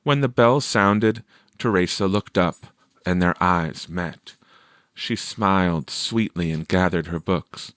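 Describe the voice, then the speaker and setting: A man reading a story aloud as a scripted narration, with measured, clear phrasing. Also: sits low, fairly bright tone, dry recording. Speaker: audiobook reader.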